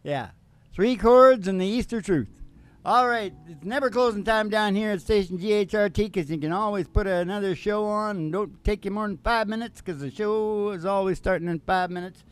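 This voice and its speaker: A man's voice: sits high, moderate pace, clear, resonant tone. A man hosting a country music and comedy show, with folksy, playful, rambling banter and acoustic country singing. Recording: live guitar accompaniment, loud music. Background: acoustic guitar.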